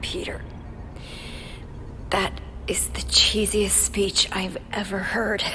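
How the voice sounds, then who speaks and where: A woman's voice: medium pitch, little pitch movement, slow, sharp tone, in a whisper. A young woman with an American accent, acting as a smart, brave, caring heroine in a video game cutscene. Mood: calm, recovering. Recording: clear background noise and ambience. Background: noisy ambience.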